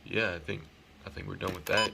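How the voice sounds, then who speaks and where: A man with a fairly low, creaky voice presents a casual device demo. He talks informally, as a hobbyist hosting his own video. The recording is heavily noisy. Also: steady tone, a little fast. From United States. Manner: informal, occasionally swearing. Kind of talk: casual unboxing and setup demo.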